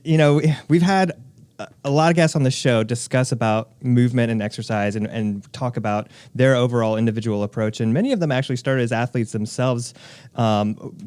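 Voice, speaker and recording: Quick, upbeat male podcast co-host. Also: mid-range voice, a little mellow, clean tone, no room sound. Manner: upbeat, friendly, many fillers. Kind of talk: casual podcast chat.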